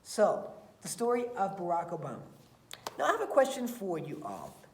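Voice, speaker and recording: A woman teacher reading aloud and talking to students in a deliberate, emphatic way. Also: lowish voice, huge pitch swings, a little mellow, a little hiss or hum. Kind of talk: expressive read-aloud and lesson talk for children. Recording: roomy, echoey sound.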